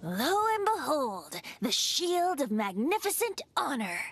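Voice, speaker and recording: A woman's voice: sits high, sing-song, slow pace, a bit bright, no echo, exaggerated voice. A woman voicing a playful, boyish young male bard in a video game, with a theatrical, eloquent, lilting delivery. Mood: proud.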